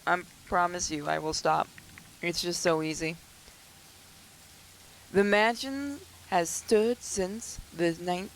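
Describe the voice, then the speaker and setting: A let's play gamer with a slightly hoarse, under-the-weather voice, reading narration aloud with joking, self-mocking asides. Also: mid-range voice, slight background noise, poor audio. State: slightly hoarse, getting sick. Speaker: gaming YouTuber.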